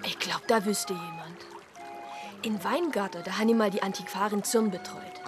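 An actress playing a curious, practical deaconess with a Swabian accent and a direct, folksy manner in a radio play. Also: female voice, medium pitch, normal speed, music in the background, close to an everyday voice. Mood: helpful, curious.

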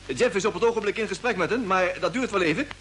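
An excitable young radio operator aboard a spaceship, stammers and protests in a colloquial way. It is old Dutch radio drama acting, with a light layer of noise over the vintage recording. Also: male voice, high voice, quick pace. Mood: excited, eager.

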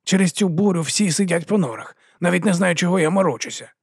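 A man's voice: high-pitched, lively intonation, fast talker, husky, dry recording, clean studio sound, voice pushed up, full character voice. A man reading an audiobook and voicing a male wolf that grumbles at the weather. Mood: grumbling.

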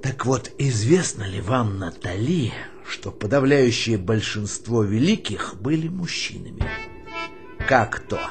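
An actor playing an older army colonel in a radio play, ironic and mock-courteous, with a theatrically polite manner. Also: male voice, lowish voice, lively intonation, a little slow, soft background music. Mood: pompous, sardonic.

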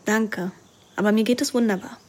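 A woman's voice: medium pitch, fairly even intonation, moderate pace, darkish tone, close to an everyday voice. An actress playing a restless, detached young woman who narrates and speaks in a radio drama in a composed, reflective way. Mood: cool. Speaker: lead actress and first-person narrator.

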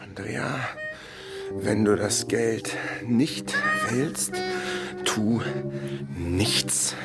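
A man playing a cheeky, evasive detective with a blustering, expressive manner in a radio crime drama. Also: lowish voice, drawn-out words, creaky, over loud music, heavily acted. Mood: insistent, subdued. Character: cheeky, evasive male detective, hapless and stubborn.

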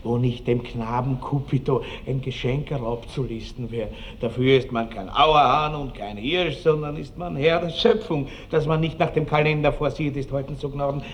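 A man's voice: medium pitch, moderate pace, few pauses, natural voice. A man acting a lecherous Austrian country baron in a vintage radio play. He is pompous and earthy, boasting at length and relishing every word. The old recording carries faint noise. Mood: lustful relish. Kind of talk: classic radio drama acting. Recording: noisy old 1960s radio drama recording.

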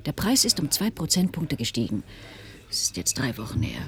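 Ironic society woman for radio play, lower register, animated pitch, teasing and breathy. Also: normal speed, heavily acted. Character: mocking, worldly younger woman dining with a rich suitor. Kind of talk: German radio play acting.

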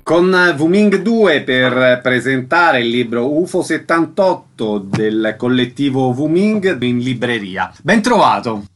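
Animated male radio host with lively intonation. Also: mid-range voice, takes it slowly, hardly any pauses, soft background music. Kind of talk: radio interview, presenting.

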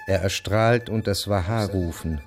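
A man solemnly reciting poetic sacred hymns in a radio play, with measured delivery. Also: sits low, soft background music.

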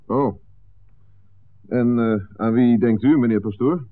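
A man's voice: mid-range voice, slow, lightly acted. A man playing a plain-spoken, loyal village smuggler in a 1960s radio drama. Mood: taken aback. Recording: muffled old radio recording.

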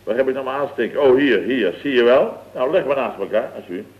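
A man acts out an exasperated boss of a building firm in radio comedy style. His voice sits at a middling pitch, and he sputters, stammers and turns sarcastic. The sound is dull, like an old broadcast. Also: lively intonation, fast talker, lightly acted. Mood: flustered. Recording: dull vintage radio recording.

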